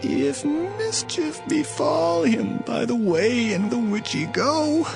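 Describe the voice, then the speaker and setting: A sorrowful old father, voiced by a man, speaks in slow, drawn-out lines with a gravelly rasp. His voice rises and falls widely over a music bed. He sounds weary and grieving. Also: very high voice, lively intonation, full character voice. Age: older. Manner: slow, mournful, sorrowful delivery. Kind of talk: dramatized scripture reading.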